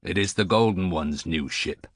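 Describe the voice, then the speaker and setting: A man's voice: low voice, moderate pace, husky. A man voicing a superstitious old male sailor on a harbour beach, stiff and scornful in manner.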